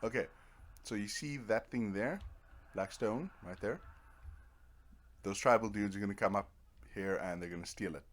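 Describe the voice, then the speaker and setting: A man's voice: lowish voice, moderate pace, pauses often. A man giving casual playthrough commentary as host of a gaming video, with offhand, mildly mocking asides.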